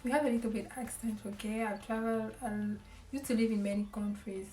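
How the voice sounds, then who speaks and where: A young woman with a Cameroonian accent, giving casual advice to camera in a halting way, with lots of ums and restarts; she grew up speaking French. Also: on the high side, fairly even intonation, warm tone, faint music behind. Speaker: vlogger. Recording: echoey room, voice a bit far from the mic.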